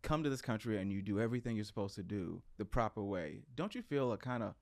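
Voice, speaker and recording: A man's voice: on the low side, lively intonation, fast, gravelly, a little mellow, no echo, clean studio sound. Joking man hosting a podcast. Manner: restarts, jokey, asks follow-up questions. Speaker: podcast host. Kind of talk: casual podcast interview.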